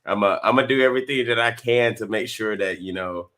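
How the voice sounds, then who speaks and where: A man, chatting as an interview guest in a relaxed way with many fillers and restarts. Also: fairly low pitch, quick pace. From North Carolina. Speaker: guest actor. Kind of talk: casual interview.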